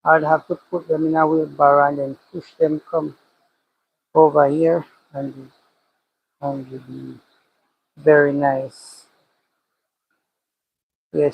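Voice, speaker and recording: A man's voice: fairly high pitch, little pitch movement, measured pace, gravelly, long pauses, phone-quality sound, a little hiss or hum. A man with a Jamaican accent, a cattle farmer livestreaming from his pasture, thinking aloud and repeating his phrases as he talks. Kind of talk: casual livestream chat, reading comments. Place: outdoors by a cow pasture.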